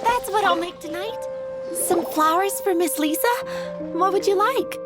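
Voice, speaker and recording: A woman's voice: very high-pitched, measured pace, loud music behind the voice. A woman playing a polite, timid young flower shop clerk in a video game, with an earnest manner. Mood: friendly, helpful. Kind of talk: video game character dialogue.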